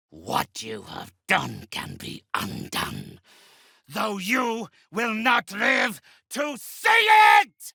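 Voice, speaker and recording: An actor voicing an ancient undead necromancer in a video game, raspy, pompous and formal, haughty and theatrically menacing. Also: male voice, medium pitch, bright, forceful tone, no echo, studio quality, exaggerated voice. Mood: threatening. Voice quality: raspy, hoarse.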